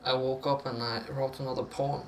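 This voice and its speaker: A man's voice: mid-range voice, flat delivery, fairly quick, roomy sound, a little hiss or hum, low-quality recording. A man with a Norwegian accent talking casually in an interview, musing in a rambling, sweary way. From Norway.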